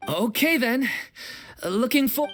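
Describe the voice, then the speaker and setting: A woman voicing a practical young theater troupe member in a video game, husky, casual and direct. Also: high voice, lively intonation, takes it slowly, fairly bright tone, faint music behind, full character voice. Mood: coaxing. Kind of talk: scripted game voice acting.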